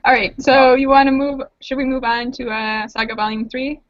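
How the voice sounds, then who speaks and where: A woman's voice: sits high, fairly even intonation, normal speed. A woman on an online call panel, discussing books in a casual, conversational way. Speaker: panelist and awards judge. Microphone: video call. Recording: muffled, lo-fi online call audio.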